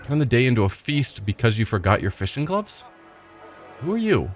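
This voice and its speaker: A man's voice: mid-range voice, sing-song, normal speed. Skeptical man doing gameplay commentary. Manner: skeptical, dry asides. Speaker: let's play host. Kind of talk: gameplay commentary and reading dialogue. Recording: faint game music behind.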